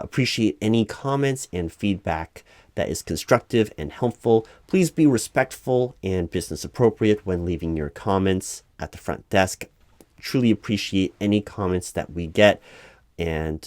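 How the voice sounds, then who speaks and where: Man acting as officious, halting low-voiced recruiting manager. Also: a little mellow, dry recording. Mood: polite, formal. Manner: halting, repetitive, officious, over-clarifying. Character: earnest, flustered corporate recruiting manager interviewing a candidate. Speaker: job interviewer. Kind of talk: one-sided ASMR interview roleplay, spoken aloud.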